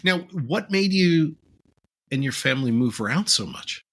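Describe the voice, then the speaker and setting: Lively, husky-voiced male podcast host. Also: moderate pace. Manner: friendly, laughs often, animated rises in pitch. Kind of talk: casual interview chat.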